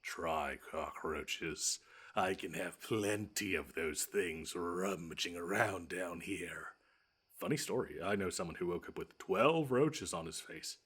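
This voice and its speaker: A man plays a monster who lurks under a child's bed, in a deep, husky voice. He is grumpy and pompous, choosing fussy words and stretching out his threats. The delivery is a scripted audio roleplay with dry sarcasm. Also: fairly bright tone, full character voice. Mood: sardonic. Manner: pompous, wordy, drawn-out menace with dry sarcasm.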